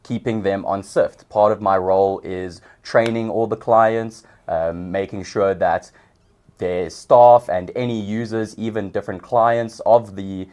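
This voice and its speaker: A young South African man, a podcast guest, explaining his work in a relaxed way with some hesitations. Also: lowish voice, measured pace. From Johannesburg, South Africa. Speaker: guest, customer success manager. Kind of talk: casual interview.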